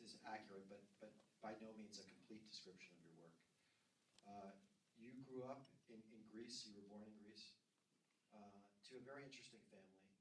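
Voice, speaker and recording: A man moderating an onstage interview, introducing his guest informally with hesitant, lightly joking phrasing. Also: on the low side. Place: festival stage with audience. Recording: echoey room, distant, some background noise.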